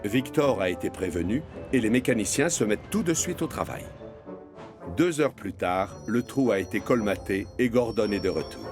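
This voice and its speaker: A man's voice: fairly low pitch, lively intonation, normal speed, music in the background. A man narrating a scripted children's cartoon story as the storyteller.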